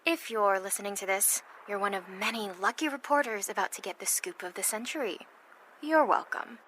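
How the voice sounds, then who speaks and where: An actress playing a sardonic young supervillain who talks to reporters with cocky sarcasm. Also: female voice, medium pitch, brisk, natural voice. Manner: cocky, sarcastic, then confessional.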